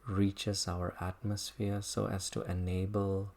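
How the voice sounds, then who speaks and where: Meditation guide, low pitch, very slow delivery, contemplative, leaving space between short phrases. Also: flat delivery, darkish tone. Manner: unhurried, contemplative, halting phrases. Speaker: meditation teacher. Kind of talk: guided body scan meditation.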